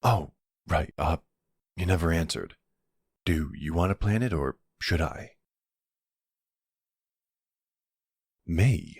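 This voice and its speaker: A man acts out a mafia boss smitten with his date, in a very deep, husky voice. He takes his time over every phrase, courteous and tender. His hushed, hesitant earnestness suits an intimate ASMR romance roleplay. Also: lively intonation, measured pace, no echo, clean studio sound, heavily acted. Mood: flirty, eager. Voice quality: low, gravelly, hushed. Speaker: audio roleplay voice actor.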